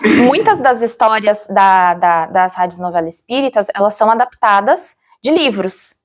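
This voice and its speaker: A Brazilian woman giving an interview, recalling her experience in a reflective, halting way, searching for words and often checking for agreement. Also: medium pitch, lively intonation. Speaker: interviewee, former radio drama actor. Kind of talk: interview, personal recollection.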